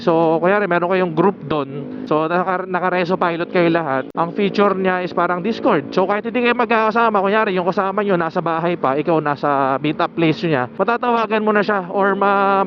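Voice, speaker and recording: A man with a Filipino accent talking casually to viewers in a product review, conversational and repeating himself, recorded on a wireless helmet microphone. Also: sits high. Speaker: motorcycle vlogger reviewing gear. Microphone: wireless helmet intercom microphone. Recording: muffled helmet intercom mic, clear vehicle noise.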